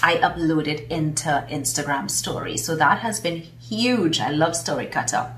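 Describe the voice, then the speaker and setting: Trinidadian woman vlogger, lowish voice, eager and chatty, mic set back in a roomy space. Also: moderate pace, a bit bright, a little hiss or hum. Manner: enthusiastic, chatty, many fillers. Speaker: vlogger and business coach. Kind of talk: casual talk to camera. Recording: roomy echo, mic at a distance.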